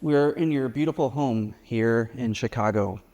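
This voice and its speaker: A man's voice: mid-range voice, measured pace, mellow, in a large hall. A man hosting an interview in a guest's home. He asks loose, friendly questions, often says "like", and backs the guest up with short murmurs. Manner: friendly, uses "like" a lot, lots of backchannel mm-hmms. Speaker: podcast host. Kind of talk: casual interview.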